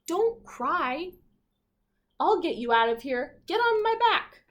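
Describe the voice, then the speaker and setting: A woman voicing a tiny helpful storybook mouse in a gentle, encouraging manner. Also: extremely high pitch, very animated, slow, pitched above the performer's own voice, heavily acted. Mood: reassuring.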